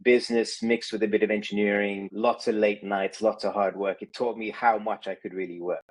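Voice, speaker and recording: A man from Kenya, a podcast guest, telling his life story in a thoughtful, meandering way, often pausing on "you know". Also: fairly low pitch, level tone. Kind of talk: reflective conversational storytelling. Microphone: video call.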